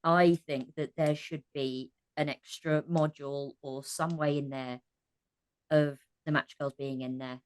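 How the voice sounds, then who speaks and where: Low-voiced woman speaking earnestly. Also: fairly even intonation, a little slow, a little mellow. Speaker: podcast guest, cast member of an audio drama. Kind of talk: impassioned casual discussion.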